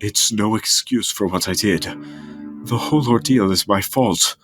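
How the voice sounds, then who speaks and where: A man's voice: on the low side, unhurried, music in the background, close to an everyday voice. A man voicing a big, grieving monster king and regretful father, earnest and solemn, in a dramatic comic dub. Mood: remorseful.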